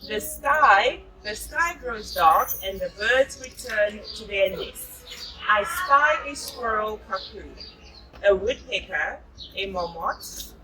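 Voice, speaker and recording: A woman's voice: mid-range voice, expressive, slow pace, bright, forceful tone, far from the mic, slight background noise, low-quality recording. A South African woman reading a picture book aloud to young children and explaining it, encouraging them and confirming what they say.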